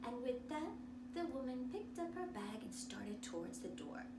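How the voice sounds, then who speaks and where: High, animated woman telling a children's story. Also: normal speed. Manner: theatrical, expressive. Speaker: drama teacher reading a picture book aloud. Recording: roomy, distant mic, noisy background.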